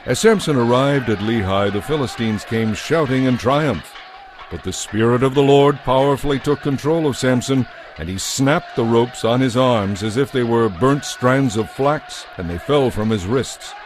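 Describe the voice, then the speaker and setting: A man narrating a dramatized scripture audiobook in a measured, solemn storytelling manner. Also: medium pitch, a little hiss or hum.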